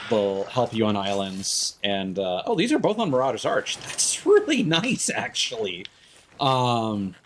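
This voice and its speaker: A man's voice: mid-range voice, a little hiss or hum. A man giving casual live game stream commentary, rambling and thinking aloud into a streaming microphone.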